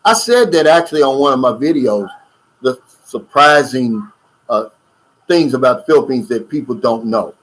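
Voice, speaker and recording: A man's voice: mid-range voice, animated, normal speed, low-quality recording. A middle-aged man hosting a casual livestream, reading viewer comments and chatting loosely with lots of fillers and asides. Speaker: YouTube channel host. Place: lives in the Philippines.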